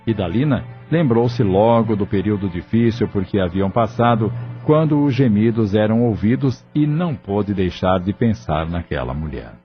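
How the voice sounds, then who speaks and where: A man's voice: lowish voice, lively intonation, normal speed, lo-fi sound. A Brazilian man reading scripted narration for an audio drama. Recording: band-limited recording with music bed. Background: music.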